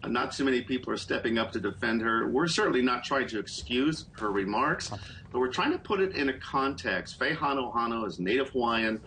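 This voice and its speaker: A middle-aged man talks through a webcam from a small indoor room, explaining political stories in a calm, measured way. His voice has a bright edge. A faint background hiss sits under the call audio. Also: medium pitch, rough recording. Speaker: political reporter. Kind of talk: live news interview over video call. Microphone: video call webcam.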